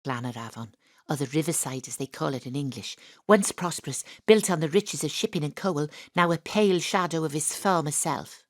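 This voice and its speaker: Wry welsh lady detective narrating, a woman voicing her, self-mocking, lowish voice, dead dry home mic. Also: normal speed, no room sound, close to an everyday voice. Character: wry, sporty female amateur detective. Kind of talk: first-person radio drama narration. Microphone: home studio mic.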